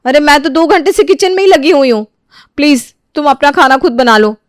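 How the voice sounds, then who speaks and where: A woman's voice: sits high, a little fast, no echo, clean studio sound, pitched above the performer's own voice, close to an everyday voice. A woman playing an overworked young daughter-in-law in an audio story, weary, whining and plaintive. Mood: pleading.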